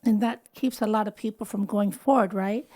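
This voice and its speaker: A woman in her fifties, born in the Philippines, talks about her practice as a wellness doctor. Her voice is warm and mellow at a middling pitch, and her sentences often trail off quietly. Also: fairly even intonation, moderate pace. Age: 50s. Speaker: anti-aging and wellness doctor. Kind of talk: casual interview talk.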